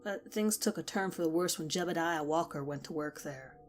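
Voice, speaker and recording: A woman's voice: deep voice, a little fast. A woman reading a scripted first-person horror story as narrator. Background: music.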